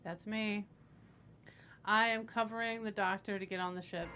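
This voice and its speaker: A woman's voice: mid-range voice, steady tone, moderate pace, sharp tone. A woman chatting casually as a player in a tabletop game, with a wry, sassy manner. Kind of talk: casual chat. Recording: music behind the voice.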